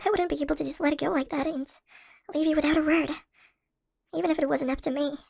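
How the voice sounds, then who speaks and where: Woman acting a tiny, high-pitched shrunken college girlfriend. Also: steady tone, fast, creaky, a little mellow. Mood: tender, relieved. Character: tiny shrunken young college woman, playful affectionate crush. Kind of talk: scripted ASMR audio roleplay.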